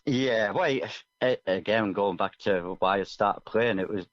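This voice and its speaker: A man's voice: lowish voice, a little slow. A man talking as a musician guest in a casual interview, earnest, reflective and a little hesitant. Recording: dull band-limited line, music underneath.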